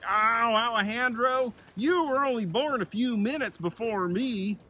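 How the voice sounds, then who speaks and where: A man's voice: very high voice, bright, forceful tone, pitched above the performer's own voice, full character voice. A man voicing a boastful, starry-eyed younger brother bear in a children's story, with a folksy, eager manner. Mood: teasing. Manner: folksy, drawn-out exclamations. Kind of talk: storybook character voice.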